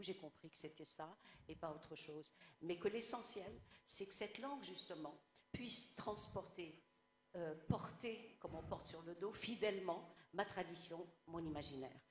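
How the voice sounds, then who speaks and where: Tunisian woman, arabic first language, thoughtful storyteller, lowish voice, roomy echo. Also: normal speed. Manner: reflective, storytelling. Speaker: guest writer. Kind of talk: panel discussion.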